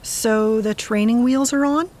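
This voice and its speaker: A woman's voice: a little high, a little slow, darkish tone, natural voice. A woman playing a wry, sardonic, restless character who talks in plain colloquial phrases in an audio drama. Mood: dry, decisive.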